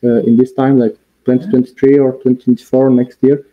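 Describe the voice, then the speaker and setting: Ein junger Mann aus der Ukraine, der in Italien lebt, plaudert entspannt und sachlich über ein Headset. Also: male voice, mid-range voice, level tone, brisk. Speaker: video chat stranger. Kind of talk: casual chat. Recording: dull lo-fi web call.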